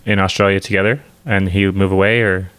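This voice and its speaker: A man co-hosting a podcast, asking questions in a slow, measured way. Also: low voice, flat delivery, fairly bright tone, clear, resonant tone, poor audio. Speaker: podcast co-host. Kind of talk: casual interview talk.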